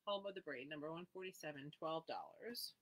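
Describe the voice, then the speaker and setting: A woman's voice: low voice, brisk, fairly bright tone. A woman hosting a live needlework sale, reading out item numbers and prices and chatting with viewers by name. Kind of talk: live sales presentation, casual chat.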